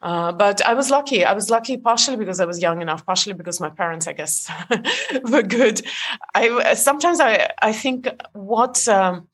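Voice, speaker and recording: A woman's voice: medium pitch, big pitch swings, moderate pace, a bit bright, slightly rough. A woman in her forties from Estonia, a guest author talking in a casual interview, hesitant with many fillers and restarts.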